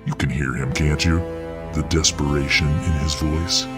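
A man playing a huge, dominant, contemptuous supervillain in an ASMR audio role-play, with a very deep voice and deliberate, taunting delivery. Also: steady tone, over music. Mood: boastful, scornful. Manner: deliberate, taunting, theatrical monologuing. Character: huge muscle-bound male supervillain, dominant, contemptuous, righteous.

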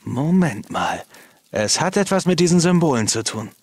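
Young adventurer hero, scrappy treasure hunter, higher-than-average voice, animated, casual and wry, game cutscene with music underneath. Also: male voice, normal speed. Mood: pondering. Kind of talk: dubbed video game cutscene acting. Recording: music behind the voice.

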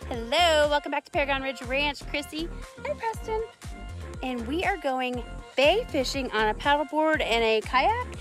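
A woman in her thirties chatting excitably and encouragingly into a handheld camera outdoors by the water, in a casual vlog. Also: sits high, normal speed. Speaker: vlogger. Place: outdoors by a bay, dock and water. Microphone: handheld phone or action camera. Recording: music behind, outdoor handheld recording.

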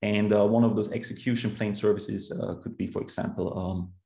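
A man's voice: on the low side, steady tone, a little fast, gravelly, warm tone, echoey room. A man in his thirties from Austria with an Austrian German accent, giving a technical conference talk over a video call, explaining steadily with fillers and restarts. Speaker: conference speaker.

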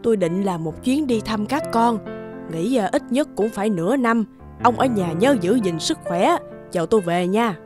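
A woman's voice: medium pitch, expressive, moderate pace, music in the background, close to an everyday voice. A woman playing a worried, resigned elderly wife and mother in a cartoon, sighing and hesitant. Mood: caring. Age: older. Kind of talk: cartoon voice acting.

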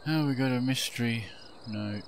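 A man's voice: mid-range voice, normal speed, a little hiss or hum, rough recording. A man giving casual, offhand commentary as he plays through a video game. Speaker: gamer narrating a playthrough. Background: game music and sounds.

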